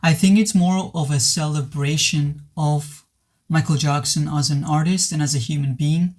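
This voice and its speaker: A man giving a casual film review to camera, his voice worn and hoarse after being ill, talking earnestly with many halting restarts. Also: a little high, fairly even intonation, darkish tone. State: voice worn from recent illness. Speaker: movie reviewer.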